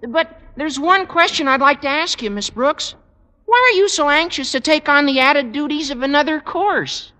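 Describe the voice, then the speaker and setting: An actor playing an eager, cheeky teenage schoolboy with a squeaky voice in a radio sitcom. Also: male voice, expressive, normal speed, sharp tone, exaggerated voice. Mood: eager, helpful. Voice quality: squeaky, adolescent-sounding. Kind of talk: 1950s radio sitcom acting. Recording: old radio recording, long reverb.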